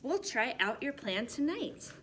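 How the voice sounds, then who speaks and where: A woman's voice: a little high, sing-song, unhurried, a bit bright, a little hiss or hum. A woman voicing an earnest, polite, formal museum director, a grown man in a children's storybook. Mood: businesslike.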